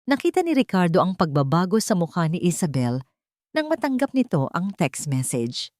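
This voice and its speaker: A woman's voice: lowish voice, sing-song, normal speed, a little mellow, no room sound, clean studio sound. A woman narrating a scripted romantic drama as a storyteller.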